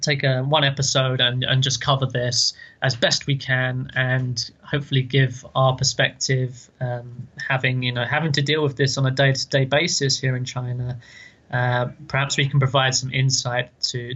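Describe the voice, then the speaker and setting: Flat, bright-toned man from London. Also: medium pitch, normal speed. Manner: chatty, repeats words. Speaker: podcast co-host. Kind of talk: casual chat. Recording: dull lo-fi sound.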